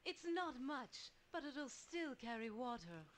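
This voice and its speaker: A woman voicing a grateful village wife in an old video game cutscene, speaking sweetly and gently. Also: high-pitched, big pitch swings, unhurried. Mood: tender.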